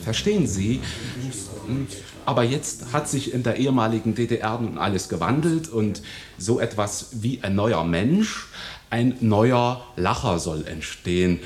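An actor playing an East German cabaret comedian, deadpan and explaining his own punchlines. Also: lowish voice. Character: East German comedian, dry joke-teller. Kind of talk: 1990s radio play acting.

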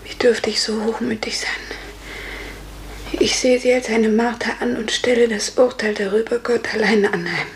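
A woman's voice: fairly high pitch, fairly even intonation, moderate pace, close to an everyday voice. An actress playing a fragile, fearful serf woman who once danced, earnest and hesitant, in an old radio play. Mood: moved, hushed. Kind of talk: 1950s German radio play acting. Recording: echoey, hissy 1950s radio recording.

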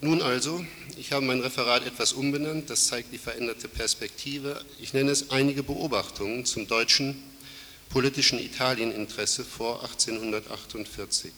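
A man with a cold giving a formal academic lecture, measured, with fillers and self-corrections. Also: mid-range voice, steady tone, sharp tone. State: has a cold. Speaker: conference speaker. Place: lecture hall. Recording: hissy reverberant hall recording.

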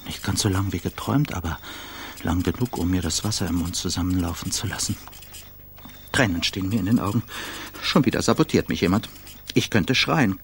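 An actor playing a paranoid, frazzled male journalist in a brooding, self-dramatising radio play monologue, with a husky voice. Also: lowish voice, slight background noise. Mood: exasperated.

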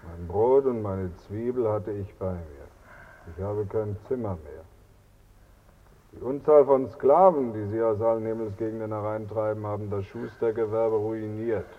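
Casting: complaining labourer's shade. Lowish, matter-of-fact radio-play voice, dull recording. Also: male voice, a little slow. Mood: glum. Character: plain working-class dead man, glum and complaining. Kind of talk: theatrical radio drama. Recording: dull, muffled old radio sound.

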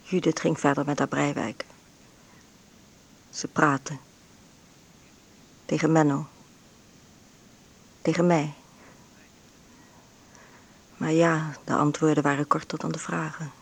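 An actress playing a frail, ailing bedridden mother in an intimate radio drama monologue, breathy and often near a whisper, with halting short phrases. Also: low-pitched, flat delivery, full character voice. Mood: weary, reflective. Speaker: actress narrating in a radio play.

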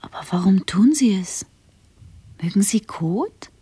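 A hushed, husky woman in a radio drama. She speaks slowly and simply, as a companion quietly questioning a brooding man. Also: mid-range voice, animated. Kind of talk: German radio play dialogue.

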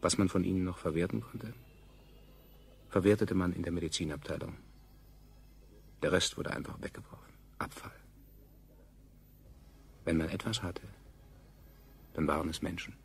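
A former slave operator who narrates a dystopian radio play. His deep voice crackles with gravel as he reflects soberly and in measured phrases. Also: steady tone, exaggerated voice. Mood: sober, reflective. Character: former operator slave recalling his rulers' cold regime. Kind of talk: scripted narration in 1970s science fiction radio drama.

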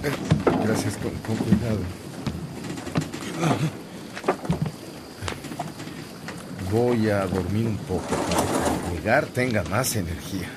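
An actor playing a devout, gentle missionary priest, earnest and humble, in a radio drama. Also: male voice, lowish voice, moderate pace, heavy background noise, natural voice.

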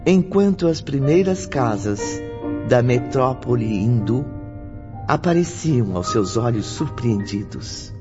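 A Brazilian man narrating a radio drama in a solemn, literary storytelling manner. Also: medium pitch. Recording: dull lo-fi, music behind. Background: prominent background music.

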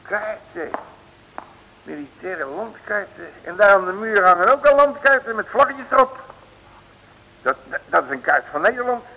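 An actor playing a folksy, street-smart detective who blusters, stammers and repeats himself in an old radio comedy serial. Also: male voice, sits high, expressive, moderate pace, sharp tone, heavily acted. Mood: blustering, surprised. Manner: stammering, repeating words, colloquial. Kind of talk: 1950s-60s Dutch radio comedy serial acting. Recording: old radio recording, hollow reverberation.

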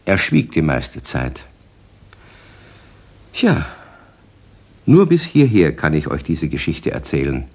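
A man narrating a children's radio play as a storyteller, speaking to young listeners in measured phrases. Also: extremely low pitch, huge pitch swings, a little mellow, husky.

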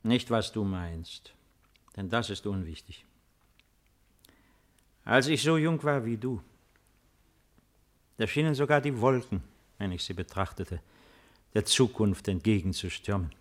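Slow, low-voiced weary old emperor. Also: male voice, lively intonation. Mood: weary, melancholy. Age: older. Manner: solemn, measured, declamatory. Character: weary, melancholy old Roman emperor, commanding yet resigned. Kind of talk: 1950s German radio drama acting.

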